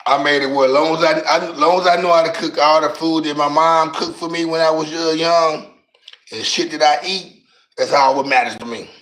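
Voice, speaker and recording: A middle-aged man with a raspy voice and a Louisiana accent, chatting on his livestream in slangy, rambling talk and often tripping over his words. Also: on the high side, steady tone, normal speed, fairly bright tone, roomy sound. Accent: Louisiana Southern US. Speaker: livestream host. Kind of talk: casual livestream chat.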